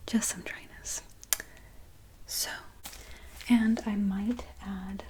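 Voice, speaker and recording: A woman speaking in a very soft, breathy whisper, gently and soothingly reassuring as in an ASMR personal-attention roleplay. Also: mid-range voice, fairly even intonation, very slow, a little hiss or hum. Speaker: ASMR creator playing a barber.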